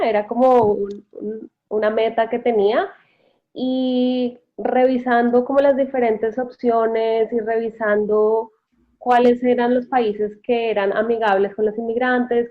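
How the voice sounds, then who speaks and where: Woman on a tinny call line. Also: on the high side. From Bogotá, Colombia. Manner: thoughtful, with hesitations and self-corrections. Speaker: interview guest, immigrant. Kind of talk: casual interview answers. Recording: tinny phone-like call audio.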